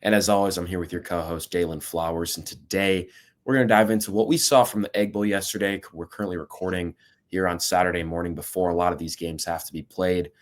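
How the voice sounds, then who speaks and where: A young man with a low voice hosts a casual sports podcast. He opens the show in a steady, lightly scripted way, tossing in an occasional filler. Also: fast. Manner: steady, scripted-sounding host intro with some fillers. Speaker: podcast host.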